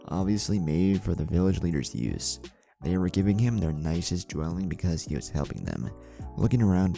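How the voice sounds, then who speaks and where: Really deep mellow guy narrating a story, voice moves around a lot, faint music behind. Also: gravelly. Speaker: narrator reading fan fiction aloud. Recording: music bed under the voice.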